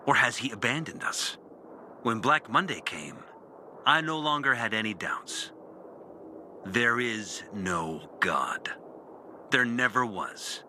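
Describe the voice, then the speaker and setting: Bitter former priest, video game monologue, very bright forceful edge, highly animated intonation, brooding, deliberate pauses, faint background noise. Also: male voice, heavily acted. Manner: brooding, deliberate confessional delivery with dramatic pauses. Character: disillusioned former priest, bitter and intense, recounting his lost faith. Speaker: character narrating an audio journal.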